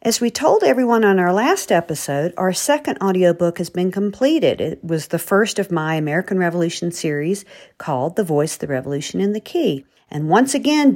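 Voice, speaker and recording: A woman with a slightly low voice interviews for a podcast. She is chatty and expressive, with lively pitch movement, and sounds like an author presenting her work.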